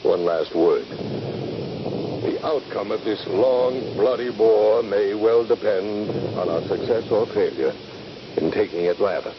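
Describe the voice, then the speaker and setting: An actor playing a stern Union army captain in a 1970s radio drama, speaking with formal, commanding military phrasing. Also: male voice, on the low side, takes it slowly. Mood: grave, commanding. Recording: muffled, noisy old radio broadcast.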